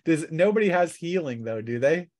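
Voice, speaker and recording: A man's voice: fairly high pitch, animated, normal speed, darkish tone. Casual man running a tabletop game. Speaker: game master.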